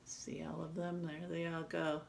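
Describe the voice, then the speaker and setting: A woman's voice: deep voice, flat delivery, measured pace, gravelly, a little hiss or hum. A woman teacher doing a read-aloud, chatting casually and explaining things simply to children. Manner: explains simply to young listeners. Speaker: teacher reading a picture book aloud.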